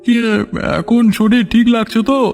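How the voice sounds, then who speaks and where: A man's voice: very high-pitched, flat delivery, moderate pace, a little mellow, in a large hall, faint music behind. A man playing a concerned hospital carer speaking to a patient in a cartoon story. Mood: concerned, explaining.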